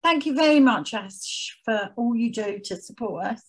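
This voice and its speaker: A woman speaking as an interview guest, chatting casually in a hesitant, halting way. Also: a little high, expressive, slightly rough. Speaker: guest, head of a veterans' arts organisation.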